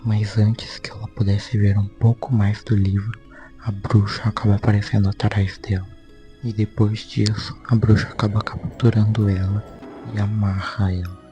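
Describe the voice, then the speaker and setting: A man's voice: fairly low pitch, level tone, moderate pace, dark tone, soft background music. A man with a Brazilian accent informally narrating a story recap as a video host, in a conversational way with repetitive phrasing. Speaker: YouTube host.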